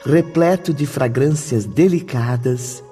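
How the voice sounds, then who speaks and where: A Brazilian man narrating a radio drama from a script in a measured, literary style. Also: mid-range voice, dark tone. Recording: music bed under the voice. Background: music.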